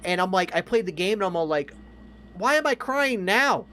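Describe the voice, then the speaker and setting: A man with a voice a little higher than average co-hosts a casual entertainment podcast. He is emphatic and opinionated and swears freely. Faint noise sits behind his voice. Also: expressive, moderate pace, a bit bright. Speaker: podcast co-host. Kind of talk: casual film and game discussion.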